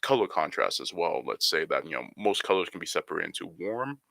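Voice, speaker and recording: Young guy on a podcast, super deep fry-y voice, says you know a lot. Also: fairly quick, no room sound. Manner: relaxed explaining, many "you know" fillers. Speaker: photographer guest. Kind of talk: podcast interview answers.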